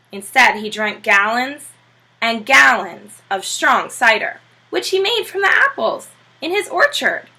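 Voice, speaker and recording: A woman with a high, bright voice reads a children's storybook aloud like a schoolteacher. She is expressive and emphatic, pausing for short asides to her listeners. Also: poor audio. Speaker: teacher reading a children's book aloud.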